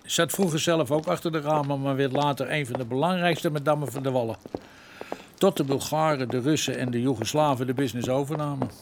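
A man's voice: mid-range voice, brisk, background noise, close to an everyday voice. An actor playing a deferential, slightly bumbling policeman, a folksy bar regular, in a radio play. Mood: folksy, explaining. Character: male policeman sidekick, folksy, eager, sheepish bar regular. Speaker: police assistant. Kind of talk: Dutch radio play acting.

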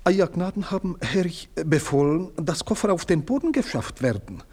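A man's voice: on the high side, very animated, normal speed, darkish tone, breathy edge. An actor playing a meddling, servile male house servant who speaks in long-winded, fawning phrases. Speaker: servant Theodor. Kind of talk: radio play acting.

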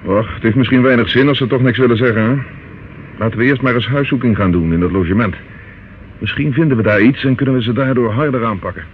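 An actor playing a methodical London police detective in an old radio play, measured, matter-of-fact and firm. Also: male voice, mid-range voice, lightly acted. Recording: muffled old radio recording, faint noise.

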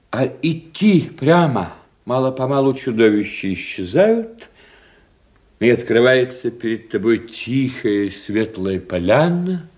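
An actor playing a witty, sardonic doctor in a Russian radio drama, with expressive, teasing, theatrical delivery. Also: male voice, mid-range voice. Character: witty, sardonic male doctor, worldly confidant of the officer.

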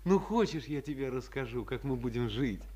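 An actor playing an earnest, lovestruck young soldier who coaxes and pleads, in 1950s Soviet radio theatre. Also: male voice, mid-range voice, animated, normal speed, darkish tone, breathy edge. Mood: tender coaxing. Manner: coaxing, full of sighs and exclamations.

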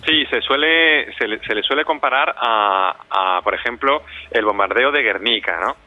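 A man's voice: mid-range voice, dry recording. Male interview guest, hesitant and searching delivery, muffled phone-call audio with no room sound. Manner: halting, with many pauses and searching repetitions. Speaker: interview guest, radio scriptwriter and director. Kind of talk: spontaneous interview answers. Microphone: telephone. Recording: dull lo-fi telephone call.

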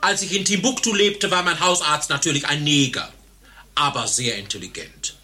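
A man's voice: on the high side, normal speed, pushed and bright. An actor playing a boastful, eccentric hypochondriac man in a satirical radio play.

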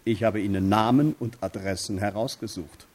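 An actor playing a fussy, self-assured optician in a radio play, talking in clipped, precise phrases. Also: male voice, lowish voice, normal speed, darkish tone, close to an everyday voice. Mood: proud, certain. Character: fussy, self-assured male optician proud of his wares.

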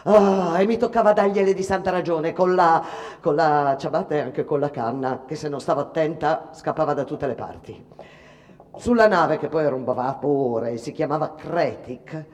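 A woman's voice: sits low, moderate pace, a little mellow, natural voice. An actress playing a bitter, weary Italian immigrant mother in a dramatic radio monologue, plain-spoken, rustic and emphatic. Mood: indignant. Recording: reverberant hall-like sound.

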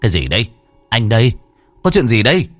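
A man's voice: mid-range voice, sing-song, fairly quick, no room sound, natural voice. A man voicing a startled village man who takes charge with urgent commands in a horror story.

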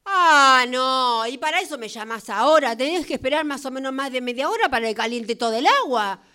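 A woman's voice: sits high, expressive, a little fast, bright, forceful tone. A woman playing a grumpy sleepy Argentine roommate. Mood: angry. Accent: Argentine Spanish. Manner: groggy, irritable, swearing. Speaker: radio drama workshop actor. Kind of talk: improvised radio play.

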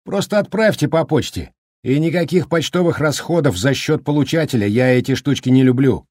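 A man voicing a cheerful, talkative middle-aged snowplow driver who speaks in a brisk, folksy, joking way. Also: medium pitch, darkish tone, no room sound, studio quality, voice pushed up, close to an everyday voice. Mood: cheery, chatty.

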